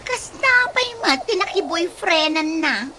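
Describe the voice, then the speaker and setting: Woman acting a gossipy ilocano daughter, really high voice, kinda envious, noisy background. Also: sing-song, a little slow, exaggerated voice. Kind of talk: Ilocano radio comedy drama acting.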